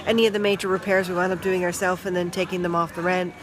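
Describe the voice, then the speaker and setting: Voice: mid-range voice, level tone, quick pace, background noise. A vlogger from Toronto, chatting casually with live viewers from a flea market stall, rambling with lots of restarts and trailing asides. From Toronto, Canada. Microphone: handheld phone. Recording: busy outdoor market crowd.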